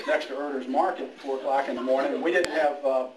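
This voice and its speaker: A middle-aged man giving an informal retirement speech as a police major, speaking haltingly in an anecdotal way. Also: mid-range voice, steady tone. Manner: halting, anecdotal, with quoted dialogue. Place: indoor room at a retirement party. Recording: echoey room, distant mic, background noise.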